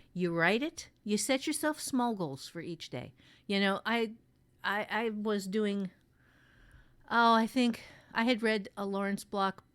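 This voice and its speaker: A woman with a middle-pitched voice chats as a podcast co-host and writing teacher. She is frank and emphatic, putting firm stress on her points and talking informally from her own experience. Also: clean tone. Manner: blunt, emphatic. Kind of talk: casual chat with personal anecdotes.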